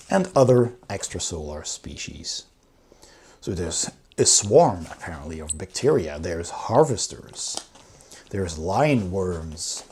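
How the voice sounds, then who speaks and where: A man's voice: deep voice, a little mellow, slightly rough. A man giving a casual, enthusiastic review, talking through a book as he goes. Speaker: board game reviewer.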